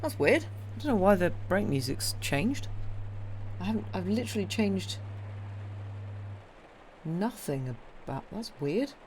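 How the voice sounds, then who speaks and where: A woman's voice: mid-range voice, big pitch swings, measured pace, pauses often, darkish tone, slight background noise, poor audio. A woman chatting casually to live stream viewers as host, informal and hesitant as she thinks aloud.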